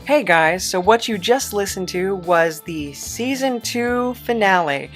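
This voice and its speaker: Female podcast host: medium pitch, informal, chatty, somewhat hesitant, faint music underneath. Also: expressive, unhurried. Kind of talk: casual chat to listeners.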